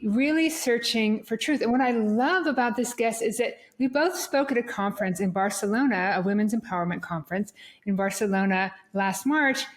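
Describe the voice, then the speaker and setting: A woman hosts a podcast in a chatty, friendly-sounding manner. Her voice sits at a middle pitch. She often repeats words and restarts her sentences as she talks. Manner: chatty, repeats words while searching for them. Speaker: podcast host. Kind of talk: podcast hosting.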